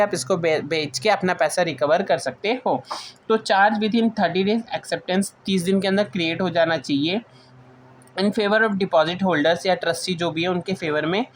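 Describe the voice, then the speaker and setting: A woman's voice: lowish voice, slight background noise. A woman with an Indian accent giving an informal lecture, explaining notes point by point and restating them as she mixes in English terms. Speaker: teacher.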